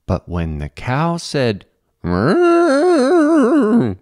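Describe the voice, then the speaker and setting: Very slow, animated picture book reader. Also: low voice, no echo, studio quality. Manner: expressive, deliberate. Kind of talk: read-aloud children's storytelling.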